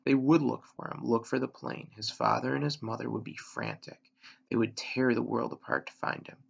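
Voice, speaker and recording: A man with a gravelly voice reading a children's novel aloud, measured, with dramatic pauses and emphasis. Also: medium pitch, lo-fi sound. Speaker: elementary school reader, story narrator.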